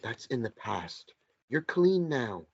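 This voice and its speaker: A man voicing the ghostly memory of a dead lover in a table read of a stage play, speaking in a slow, gentle, earnest way. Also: medium pitch, sing-song, husky, heavily acted. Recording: dull lo-fi sound.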